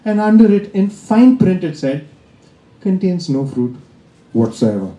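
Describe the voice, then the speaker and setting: A man's voice: high-pitched, very animated, unhurried, mellow. Indian man doing stand-up, roomy club. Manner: dry, teasing delivery with crowd work. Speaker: stand-up comedian. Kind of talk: stand-up comedy set. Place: comedy club stage. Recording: roomy live club sound.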